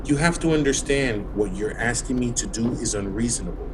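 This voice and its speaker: A man's voice: mid-range voice, lively intonation, fairly quick, noisy background, natural voice. An actor in an audio drama playing a recently divorced man, earnest and defensive as he placates his lover. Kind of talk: contemporary audio drama acting. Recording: music under the voice.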